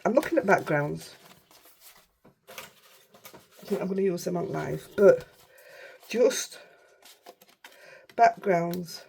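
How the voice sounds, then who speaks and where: A middle-aged woman with a Yorkshire accent, hoarse and unwell, chatting through a casual crafting tutorial in a croaky voice and rambling as she goes. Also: fairly low pitch, slow, long pauses, mellow. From Yorkshire, England. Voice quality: croaky, rough voice. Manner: chatty, rambling, self-interrupting. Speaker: craft channel host.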